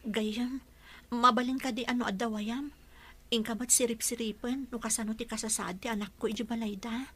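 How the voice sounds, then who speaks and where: A woman's voice: a little high, steady tone, normal speed, fairly bright tone. An actress playing a humble, worried mother devoted to her child in a radio drama, with an Ilocano Filipino accent.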